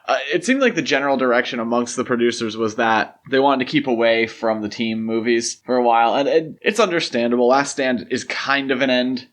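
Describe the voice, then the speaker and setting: A man's voice: medium pitch, brisk, few pauses. A man hosting a podcast, talking through film history in a casual, conversational style. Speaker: podcast host.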